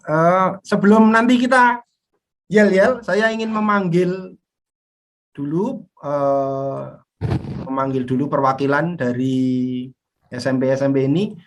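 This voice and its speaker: A man's voice: fairly high pitch, poor audio. A man with a Javanese accent moderating an online group discussion in a chatty way, laughing between remarks, on a video call. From Java. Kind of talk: casual online meeting moderation.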